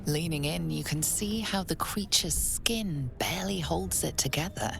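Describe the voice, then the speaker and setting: A woman voicing an ominous storyteller, narrating a video game in measured scripted lines. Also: sits low, vocal fry, background noise.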